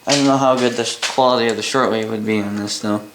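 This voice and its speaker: A Canadian man casually demonstrating gear in an unscripted, halting way, thinking aloud as he goes. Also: on the low side, steady tone. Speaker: hobbyist presenter demonstrating a vintage boombox. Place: indoor room at a workbench by a window. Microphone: camera mic a short distance away. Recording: roomy, with noise and clicks.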